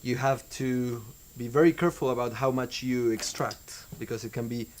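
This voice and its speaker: Argentine-accented young man, even mid pitch, measured, hesitant pauses, faint hiss behind. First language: Spanish. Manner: measured, with hesitant fillers and restarts. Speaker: winemaker guest. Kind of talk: interview answers.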